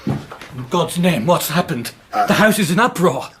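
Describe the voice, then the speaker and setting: A man playing an anguished, secretive, honourable gentleman in a radio drama, speaking formally and guardedly. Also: fairly high pitch, sing-song, measured pace, breathy edge, exaggerated voice. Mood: alarmed. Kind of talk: British radio drama acting.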